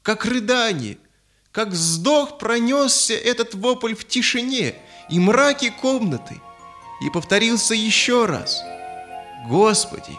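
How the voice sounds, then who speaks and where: A man narrating a children's audio fairy tale in a solemn, expressive, literary manner. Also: very high voice, slow pace. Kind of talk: dramatic scripted storytelling, audio fairy tale. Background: music.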